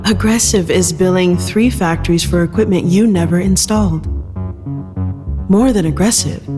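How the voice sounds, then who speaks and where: A bold young woman of the corporate world, played by a male narrator, pushes back with assertive, challenging delivery in an audio story. Music plays clearly beneath, and the voice trails a long reverb. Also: high-pitched, normal speed, lightly acted. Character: defiant young female junior associate, sharp and bold.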